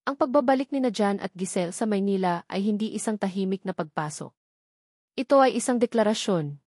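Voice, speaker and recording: A woman narrates a scripted love story in the manner of a dramatic audiobook. Her pitch sits in the middle of the female range. The sound is dry, with no room around it. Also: animated, a little fast, darkish tone, dry recording.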